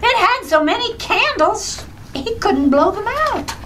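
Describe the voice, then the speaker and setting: A woman plays an excitable wisecracking wife in a radio comedy. The voice is very high and bright, taking its time over each barb with big melodic swings. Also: a little slow, a little hiss or hum, full character voice. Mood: wry, sassy.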